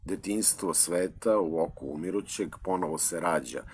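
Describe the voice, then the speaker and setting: A man's voice: deep voice, a little fast, slight background noise. A man from Serbia with a Serbian accent, a school librarian reading his own poems in a library, hesitant between the lines. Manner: hesitant with many uh fillers, then steady recitation.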